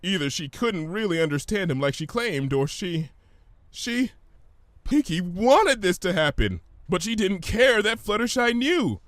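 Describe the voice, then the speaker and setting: A man narrating a scripted story in an expressive, theatrical manner. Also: high voice, a little slow, dry recording.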